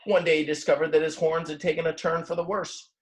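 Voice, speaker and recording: A man's voice: fairly high pitch, flat delivery, fast. A man reading a children's book aloud expressively, like a teacher, with simple, casual explanations along the way. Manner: explains words simply in plain asides. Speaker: read-aloud storyteller, teacher.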